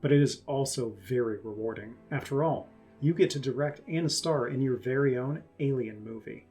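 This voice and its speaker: A man's voice: medium pitch, moderate pace, a little mellow. Man reading rulebook narration over music. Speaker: reader of rulebook quotations. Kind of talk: scripted read-aloud narration.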